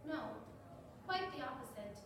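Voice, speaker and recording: High-voiced, defiant witness in echoey stage play woman acting. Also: lively intonation, normal speed. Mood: defiant, principled. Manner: earnest, emphatic, articulate defending of itself. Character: banned novel personified on the witness stand, female, earnest, defiant. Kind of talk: stage readers theater courtroom drama. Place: live stage performance in a roomy venue. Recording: echoey hall, distant mic, noisy room.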